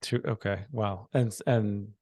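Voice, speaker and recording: Low-voiced male podcast host. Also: fairly even intonation, unhurried, dark tone, no echo, clean studio sound. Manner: supportive, frequent short affirmations. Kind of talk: interviewing, brief backchannels.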